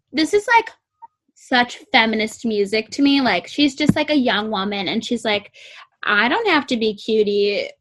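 A young woman with a sweet, squeaky voice, chatting casually about music and peppering her talk with "like". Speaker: co-host reviewing music. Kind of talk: casual chat.

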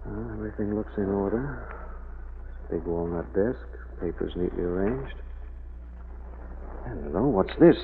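An actor playing a suave, probing amateur detective in polished 1940s radio drama style, on an old recording. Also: male voice, fairly low pitch, unhurried, vocal fry, long pauses, narrow, tinny audio, a little hiss or hum. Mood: curious, hushed. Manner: polished, assured, questioning.

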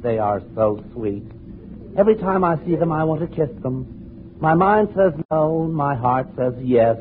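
An actor playing a prissy, fussy hotel clerk in 1940s-style radio comedy. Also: male voice, fairly high pitch, animated, unhurried, phone-quality sound. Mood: smitten. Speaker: supporting comic actor. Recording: noisy, band-limited old radio recording.

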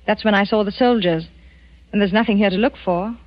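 An actress playing a frightened, tense wife in a 1940s radio suspense drama, speaking in a halting, dramatic way. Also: female voice, mid-range voice, lightly acted. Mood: uneasy. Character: frightened, tense wife of a murderous doctor in wartime France. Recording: dull old 1940s radio recording with music.

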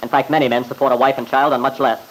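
A man's voice: mid-range voice, flat delivery, racing, fairly bright tone, lightly acted. A man playing an earnest, defensive young father testifying in an old radio drama. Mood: flustered, defiant. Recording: noisy old radio recording.

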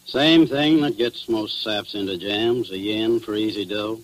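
Man playing crooked tough-guy cop, lowish pitch, cynical slang, 1940s radio crime drama, faint noise and hum. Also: a little slow, close to an everyday voice. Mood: confessing, rueful. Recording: noisy, humming 1940s radio recording.

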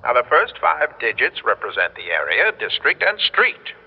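An actor playing an officious telephone repair man in a radio drama, flustered and hesitant, polite but impatient, with a husky voice. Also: mid-range voice, wildly swooping pitch, normal speed, full character voice. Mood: bewildered. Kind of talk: 1960s radio drama acting. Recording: muffled reverberant old radio recording.

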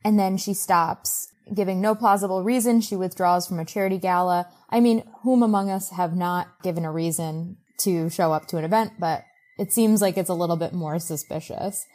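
A woman's voice: fairly low pitch, moderate pace, few pauses, darkish tone. Casual, wry woman podcaster. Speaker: podcast co-host. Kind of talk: casual book-recap chat with quoted asides.

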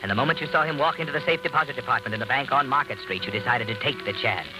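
Music plays under an old radio suspense recording. An actor plays an all-knowing male narrator who taunts and insinuates. He speaks quickly, prodding with rhetorical questions. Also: mid-range voice, close to an everyday voice, low-quality recording. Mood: ominous, suspenseful. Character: taunting, omniscient male inner-voice narrator of doom.

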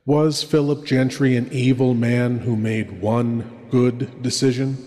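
A man hosting a horror show with theatrical, ominous narration and deliberate dramatic pauses. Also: medium pitch, fairly even intonation, mellow. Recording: long cavernous reverb, faint music.

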